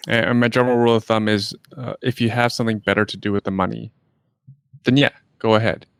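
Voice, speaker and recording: A man hosting a casual interview, relaxed, laughing often and asking short questions. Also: lowish voice, fast, gravelly, clean tone, no echo, studio quality. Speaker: podcast host.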